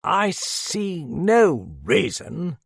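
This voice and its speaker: Man acting an indignant, snappish suspect, pitch raised. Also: very animated, extremely slow, gravelly, breathy edge, no echo, clean studio sound, exaggerated voice. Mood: irritated. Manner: snappish, protesting. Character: angry, defensive male murder suspect, indignant.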